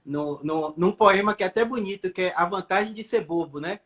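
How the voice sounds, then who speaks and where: High-voiced man in his thirties from Bahia. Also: fairly quick, a bit bright. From Salvador, Bahia, Brazil. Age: 30s. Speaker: neurosurgeon guest. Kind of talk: casual interview answers.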